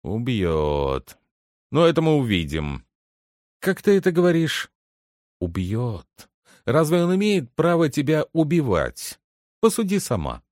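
A commanding head butler performed by a man reading a story questions and orders servants about. He is brusque and condescending, with very lively intonation. The recording is dry and close. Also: mid-range voice, unhurried, no echo, voice pushed up. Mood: probing. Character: impatient, bossy male head butler, scheming and condescending. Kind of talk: dramatic literary audiobook character reading.

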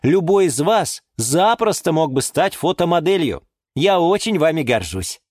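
Woman doing an earnest guy who loves his plants, kids story, highish voice, emphatic peacemaker. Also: sing-song, unhurried, darkish tone, no echo, studio quality, exaggerated voice. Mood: reassuring. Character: kind, earnest male plant owner, peacemaking and flustered. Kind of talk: children's story character voice.

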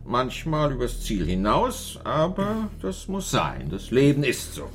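An actor playing a smug, insinuating small-town mayor in a radio crime drama, speaking in clipped, pointed phrases with weighty pauses. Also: male voice, mid-range voice, huge pitch swings, soft background music. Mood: jovial, insinuating.

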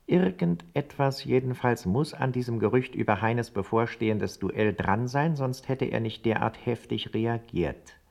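A man's voice: mid-range voice, a little slow, vocal fry, few pauses, darkish tone. An actor playing a servile informant who narrates his formal report in ornate for a radio play. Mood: measured, intrigued.

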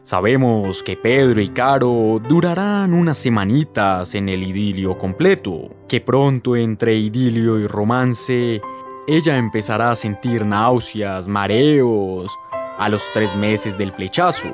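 A man playing a solemn, dramatic narrator of a radio soap, speaking in a grandiose, deliberate manner. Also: on the low side. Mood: mock solemn. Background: music with guitar.

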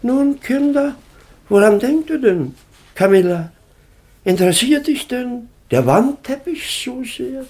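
A man's voice: extremely high pitch, animated, slow pace, darkish tone, exaggerated voice. An actor playing a pompous, fussy, easily piqued baron and father in a German radio comedy of manners. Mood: fussy, exasperated. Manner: pompous, declamatory. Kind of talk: German radio play of a classic stage comedy.

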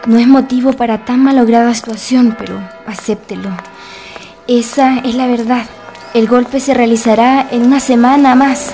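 Lady as an officer's wife passing secrets in a radio play, mellow highish voice, solemn, music behind. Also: steady tone, normal speed, lightly acted. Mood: urgent. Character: secretive officer's wife, earnest, urgent informant. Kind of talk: historical radio drama acting.

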